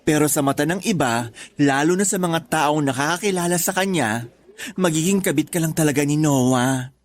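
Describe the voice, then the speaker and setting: An actor voicing a woman who is a teasing, blunt office friend, with exaggerated, drawn-out delivery in a radio drama. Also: deep voice, lightly acted. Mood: blunt, concerned. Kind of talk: Tagalog radio drama dialogue.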